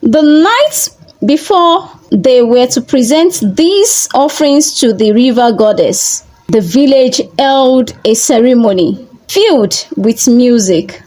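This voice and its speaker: A woman tells a folktale from a script in a high, animated voice. She moves slowly and deliberately, breaking sentences into short measured phrases. Her intonation rises and falls widely. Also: low-quality recording. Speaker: storyteller.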